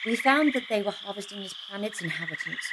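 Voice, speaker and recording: A woman playing an anxious but determined resistance agent, halting and gasping, in an audio drama. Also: mid-range voice, animated, natural voice. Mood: guarded. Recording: strongly echoing, faint background noise.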